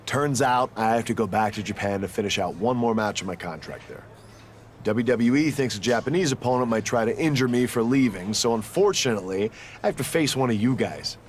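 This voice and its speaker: A man's voice: mid-range voice, lively intonation, fairly quick, lightly acted. Guy voicing smug young wrestler dude in a game cutscene, condescending, bit of background noise. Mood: taunting, dismissive. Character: cocky young male pro wrestler taunting rivals. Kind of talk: video game cutscene acting.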